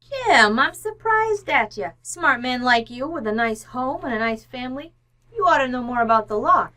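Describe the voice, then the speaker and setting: Housekeeper, played by a woman: uninhibited, slangy radio-comedy type, high and sharp-edged, cheerfully blunt. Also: expressive, normal speed. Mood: stubbornly cheerful. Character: brash, uninhibited, horse-betting housekeeper, woman. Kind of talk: old-time radio comedy acting.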